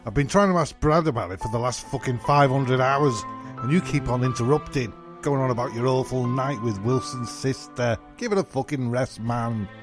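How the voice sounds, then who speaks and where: A man's voice: medium pitch, moderate pace, music in the background, lightly acted. A man playing an exasperated, foul-mouthed castaway in a comedy audio drama, speaking bluntly.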